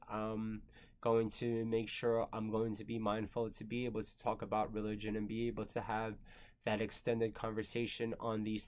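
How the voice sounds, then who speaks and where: A man in his thirties, born in the Philippines and not a native English speaker, rambling through a casual personal monologue with many fillers and some swearing. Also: on the low side. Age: 30s. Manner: rambling, filler-heavy, frequent "you know" and "um". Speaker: creator answering viewer questions.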